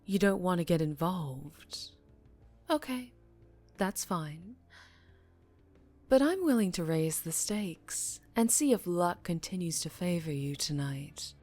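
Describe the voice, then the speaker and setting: A woman playing a dangerously charming female mafia boss in an ASMR roleplay, teasing and menacing, with deliberate purring delivery that drops toward a whisper. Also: on the low side, expressive, darkish tone, faint music behind. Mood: coolly bargaining. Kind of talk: ASMR roleplay audio drama, addressing the listener.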